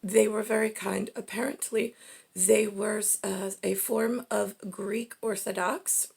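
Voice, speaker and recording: A woman giving a rambling, off-the-cuff monologue in a halting, digressive way, often breaking off mid-sentence, in a husky, gravelly voice. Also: mid-range voice, fairly even intonation, a little mellow. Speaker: speaker in a personal monologue.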